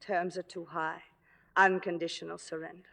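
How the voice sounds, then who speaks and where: An actress playing a proud, jealous, middle-aged theater star, theatrical and sarcastic, in classic film drama style. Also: female voice, a little high, lively intonation, normal speed, bright, forceful tone. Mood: wounded, subdued. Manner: biting, sarcastic, grandly theatrical. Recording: reverberant old film soundtrack, large hall.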